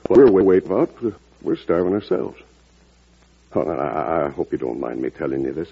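A humble country farmer in a vintage radio play. The voice is very low and rough, with halting, respectful, homespun phrasing, heard through a tinny old broadcast. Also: male voice, animated, full character voice. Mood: earnest, sheepish. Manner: folksy, halting, deferential. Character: humble, plainspoken farmer, neighbor and father. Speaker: actor. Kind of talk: old-time radio drama acting. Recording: tinny, band-limited vintage radio recording.

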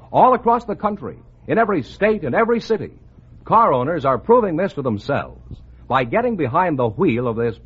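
A man announcing a 1950s radio advertisement in a polished, enthusiastic sales pitch. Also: breathy edge. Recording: dull old radio recording.

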